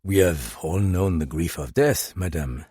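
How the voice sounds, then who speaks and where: A man voicing a calm male French detective with a deep, quiet voice, speaking in a measured, musing way. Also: slightly rough, no room sound, clean studio sound, heavily acted.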